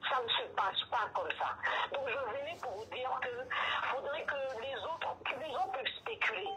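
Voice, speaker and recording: A woman's voice: high voice, animated, normal speed, background noise. A woman of Ivorian origin living in the United States, calling in by phone to a live stream to firmly explain how things work there. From Côte d'Ivoire. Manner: firm, insistent, explanatory. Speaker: caller.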